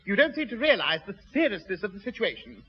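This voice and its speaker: Pompous, high-pitched, swooping English gentleman. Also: big pitch swings, fast, breathy edge, full character voice. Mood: stern. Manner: pompous, formal, schoolmasterly. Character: pompous, fussy, indignant male neighbour. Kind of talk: 1960s radio sitcom acting. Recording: muffled, reverberant old radio recording.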